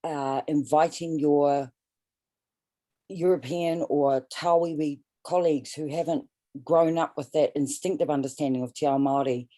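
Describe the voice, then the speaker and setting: Woman workshop participant; deep, warm voice, halting as she searches for words over a video call. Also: fairly even intonation. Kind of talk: asking a question.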